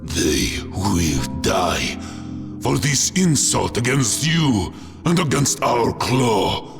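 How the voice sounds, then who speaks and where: A man voicing a wrathful, commanding transhuman warrior leader in a dark sci-fi audio drama, with slow menace. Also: medium pitch, sing-song, voice pushed up, exaggerated voice. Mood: cold vengeful rage. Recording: long hall reverb under loud music.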